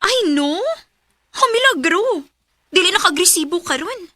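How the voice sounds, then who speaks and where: A teasing, nosy young Cebuano best friend in a radio drama. Her voice is very high and bright, close and dry. She prods and exclaims as a confidante would. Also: expressive, unhurried, no echo, full character voice. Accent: Cebuano Filipino. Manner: prodding, exclamatory. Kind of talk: radio romance drama acting.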